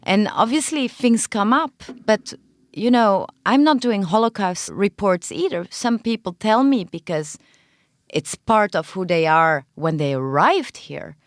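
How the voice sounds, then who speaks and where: A woman playwright and film director, talking earnestly in an interview and pausing often to find her words. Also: on the low side, dry recording, studio quality. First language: not Hebrew. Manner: earnest, searching for words with frequent pauses. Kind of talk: podcast interview conversation.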